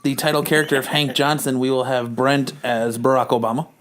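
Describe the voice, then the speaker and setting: Male podcast host, mid-pitched, loose and jokey, informal banter with the odd curse. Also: steady tone, a little fast, a crowd in the background. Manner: jokey, profane. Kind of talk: casual banter introducing a comedy reading.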